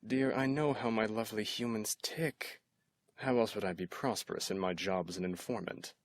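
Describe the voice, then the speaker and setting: A man's voice: on the low side, moderate pace, lightly acted. A man playing an obsessive, possessive kidnapper in an intimate ASMR roleplay, sweetly menacing and teasing, often dropping into whispers. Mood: cold, teasing.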